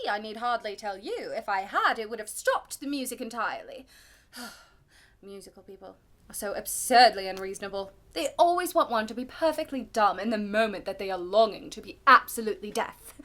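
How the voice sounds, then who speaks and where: A woman's voice: fairly high pitch, pushed and bright. A woman performing a witty, pampered young society lady in a comic stage monologue, with an arch, mock-indignant manner and formal phrasing.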